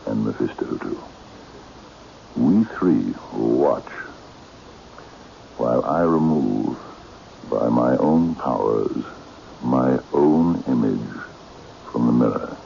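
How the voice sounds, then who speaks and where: An actor playing an imperious, vain magician in a radio mystery, theatrical and domineering, sinking into intense whispers. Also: male voice, extremely low pitch, gravelly, dark tone, full character voice. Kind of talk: radio mystery drama acting. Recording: dull, noisy old radio recording.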